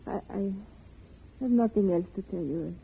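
An actress, speaking halting, sorrowful broken English. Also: female voice, medium pitch, creaky, breathy edge. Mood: sad, wistful. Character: grieving, weary immigrant mother, listless and resigned. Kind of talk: 1950s radio drama acting. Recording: muffled, tinny old radio recording.

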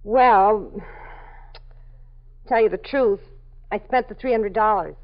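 A woman's voice: medium pitch, takes it slowly. An actress playing a frightened young woman being questioned by police, defensive and pleading, in a 1950s radio drama. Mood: confessing. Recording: dull old radio broadcast recording.

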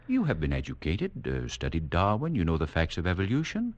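Radio play casting: a very low-voiced superhuman doctor, patronizing and assured, with hugely sweeping melodic delivery. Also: male voice, wildly swooping pitch, highs cut off, heavily acted. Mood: calm, condescending. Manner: condescending, lofty, measured, didactic. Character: serenely superior mutant doctor, next stage of man. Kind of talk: 1940s radio acting.

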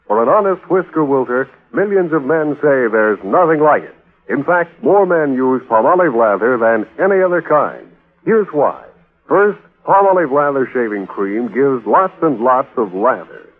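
A man's voice: medium pitch, lively intonation, normal speed, phone-quality sound. A man announcing on 1940s radio, delivering scripted copy with polished, emphatic salesmanship. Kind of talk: 1940s radio advertising read. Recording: thin, band-limited 1940s radio.

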